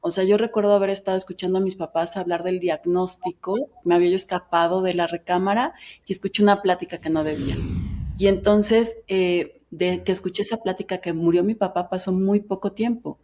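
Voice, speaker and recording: A woman's voice: lowish voice, fairly even intonation, fairly quick, darkish tone. A middle-aged woman from Veracruz with a Mexican accent, telling her personal story candidly as an interview guest. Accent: Mexican Spanish. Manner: reflective, candid, speaks in long flowing stretches. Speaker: guest, writer and foundation president.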